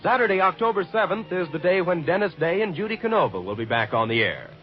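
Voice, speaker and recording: A man's voice: high-pitched, lively intonation, quick pace, soft background music. A man reading scripted announcements as a polished, showy 1950s network radio announcer. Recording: muffled vintage radio broadcast.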